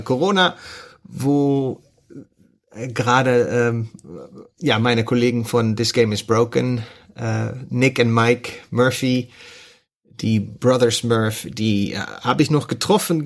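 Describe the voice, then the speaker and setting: Cheerful Dutch man speaking German. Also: mid-range voice. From Netherlands. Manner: cheerful, laughs often, searches for words. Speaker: podcast guest. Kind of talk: casual chat.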